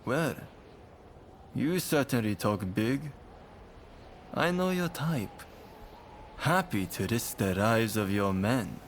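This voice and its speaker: Game villain, feudal thug, mocking, slow-spoken, sneering, scornful manner. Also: male voice, medium pitch, animated, a little hiss or hum. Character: menacing, mocking male thug in feudal Japan. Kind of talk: video game cutscene acting.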